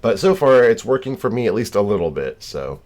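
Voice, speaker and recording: An American man with a deep voice streams games and chats as he goes. His delivery is easygoing and informal, wandering from thought to thought. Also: big pitch swings, brisk, a little mellow. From United States. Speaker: game streamer. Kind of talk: casual livestream chat.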